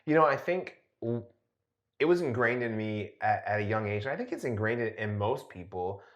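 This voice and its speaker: A man's voice: fairly low pitch, very animated, moderate pace, fairly bright tone, muffled recording. A man co-hosting a podcast, talking casually as he thinks aloud and checks for agreement. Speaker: podcast co-host. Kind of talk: casual discussion. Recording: roomy, echoey sound.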